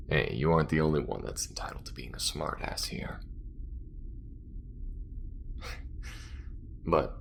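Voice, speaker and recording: Man acting a very deep, gravelly alien smuggler. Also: slight background noise, heavily acted. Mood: wry, awkward, then tender. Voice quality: very deep, gravelly, breathy murmur. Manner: halting, soft-spoken, often dropping to a whisper. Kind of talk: intimate audio roleplay spoken to the listener.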